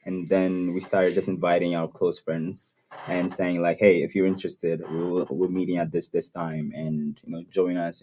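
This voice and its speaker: A man's voice: deep voice, warm tone, breathy edge. A young man from Ethiopia, co-hosting a podcast in easygoing casual chat, often saying "you know" and "yeah". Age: young adult. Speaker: podcast co-host. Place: room, seated in chairs.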